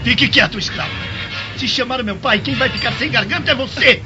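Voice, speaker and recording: A menacing young Roman in a radio drama. He threatens hot-headedly in a very high voice, muffled, with clear music behind. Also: a little fast. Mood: threatening.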